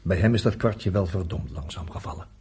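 An actor in a radio play playing a gruff, sceptical, distrustful man. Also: low-pitched, brisk, a little mellow, a little hiss or hum, lightly acted. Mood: grumbling, suspicious. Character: grumbling, distrustful man, a club insider. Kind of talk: Dutch radio play acting. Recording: radio drama recording.

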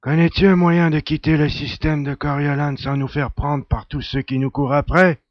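Man acting a higher-voiced, knowing adventurer. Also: little pitch movement, moderate pace, dry recording, muffled recording, close to an everyday voice. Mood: questioning. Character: male adventurer, knowledgeable, skeptical blood brother of an alien. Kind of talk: audio drama acting.

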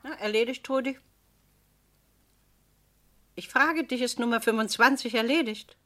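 A woman's voice: a little high, unhurried, sharp tone, a little hiss or hum. An actress playing an imperious, anxious woman in a German radio play, with a haughty, insistent manner. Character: imperious younger woman, commanding, turning to anxious pleading.

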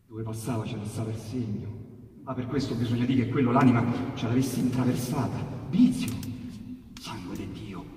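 A man reciting dialect sonnets with theatrical swings between loud outbursts and hushed asides. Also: medium pitch, normal speed. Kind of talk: dramatic poetry recitation on radio. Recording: echoey hall, distant mic, faint hiss.

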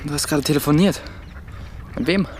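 An actor playing an uneasy, guarded sixteen-year-old son in a radio play, speaking haltingly. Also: male voice, a little high, slight background noise, lightly acted. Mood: suspicious, nervous. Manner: halting, stammering.

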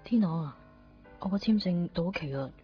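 A woman acting a conflicted young woman in a Cantonese radio drama, speaking with a Hong Kong accent in halting, introspective phrases. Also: lowish voice, animated, natural voice. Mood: subdued. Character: conflicted young woman torn in love, brooding and evasive. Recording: dull lo-fi 1990s radio recording, music behind. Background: background music.

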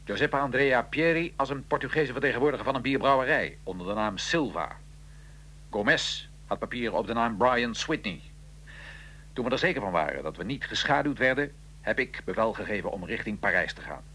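A man's voice: mid-range voice, animated, bright, forceful tone, a little hiss or hum. An actor playing a proud Italian revolutionary assassin of the nineteenth century, recounting his plot in a theatrical, emphatic radio-drama manner. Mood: dramatic recounting. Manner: theatrical, emphatic, measured storytelling. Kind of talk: radio drama acting, interview-like dialogue.

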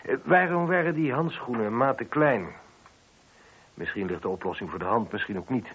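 An actor playing a probing, wry police inspector who muses and questions with dry irony in a radio play. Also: male voice, mid-range voice, a little fast, fairly bright tone, slight background noise, lightly acted. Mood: musing. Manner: musing, questioning, dryly ironic. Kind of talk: Dutch radio drama dialogue. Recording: muffled lo-fi old radio recording.

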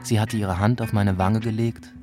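A low male voice , an actor's, plays a wistful cop in love with outlaw women. He narrates a radio drama reflectively, in noir fashion, with clear music behind him. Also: little pitch movement, moderate pace, natural voice. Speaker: narrator and character. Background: music, plucked guitar.

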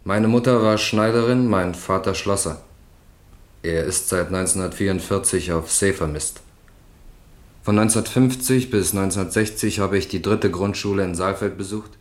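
Low-voiced young male defendant played by an actor. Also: moderate pace, natural voice. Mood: subdued, factual. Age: 20s. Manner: plain, factual reciting. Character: young male steelworker, subdued defendant on trial. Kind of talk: radio courtroom drama acting.

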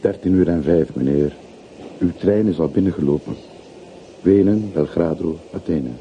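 An actor playing a gentle, melancholy old railway porter in a radio play, speaking in measured, earnest phrases. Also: male voice, low-pitched, phone-quality sound. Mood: deferential. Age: older. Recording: slightly noisy old radio recording.

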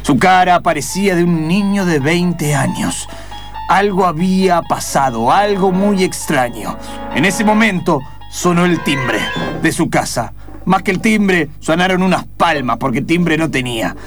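Guy narrating a radio improv story, dramatic and suspenseful, high voice, soft music under it. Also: expressive, unhurried.

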